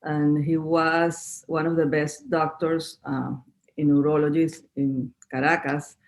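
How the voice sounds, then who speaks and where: A woman's voice: low-pitched, flat delivery, takes it slowly. Venezuelan woman in her fifties. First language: Spanish. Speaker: guest. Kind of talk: podcast interview.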